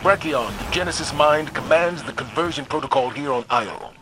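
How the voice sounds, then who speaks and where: A man's voice: on the high side, normal speed, close to an everyday voice. A man playing a disciplined military commander giving formal, authoritative mission briefings in a video game. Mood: stern, businesslike. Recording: gameplay noise underneath.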